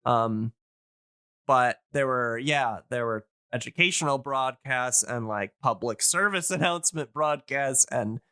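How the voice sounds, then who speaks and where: Man, podcast host, crisp voice, dry close sound, rambling enthusiastic explanations. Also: medium pitch, a little slow, pushed and bright, no echo. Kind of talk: casual explanatory chat.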